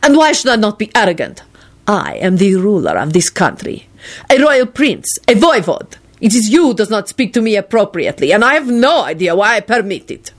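A haughty prince of an audiobook tale, acted out by a narrator. He speaks formally and imperiously, pitched up from the narration, and takes offence easily. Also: sing-song, normal speed. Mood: indignant, threatening.

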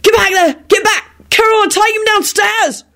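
A woman voicing a panicky but brave hungover man, exclaiming and shouting in a dramatized storybook reading. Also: very high-pitched, a little slow, voice pushed up, exaggerated voice. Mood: alarmed.

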